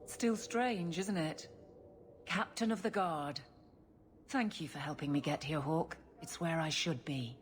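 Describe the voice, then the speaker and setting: A woman's voice: low-pitched, measured pace, a little hiss or hum. A woman voicing a steadfast, blunt guardswoman in a video game, speaking in an earnest, plain, firm way. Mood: quietly proud.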